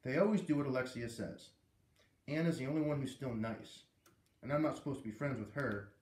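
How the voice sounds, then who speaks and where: A man's voice: medium pitch, fairly quick, darkish tone, lightly acted. A man voicing a hurt, defensive young schoolgirl in a storybook read-aloud, plaintive and blurting as she confides. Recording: roomy echo, distant microphone.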